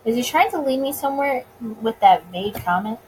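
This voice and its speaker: High-voiced woman reading a story aloud. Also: expressive, fairly quick, a little mellow, a little hiss or hum, low-quality recording. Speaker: let's player reading a visual novel aloud. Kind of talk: expressive read-aloud narration.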